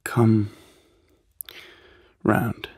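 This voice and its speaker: An actor playing a naive, lovesick young British man in an audio play, telling his story in a colloquial, confiding way. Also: drawn-out words. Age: young adult. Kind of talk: audio play monologue and dialogue.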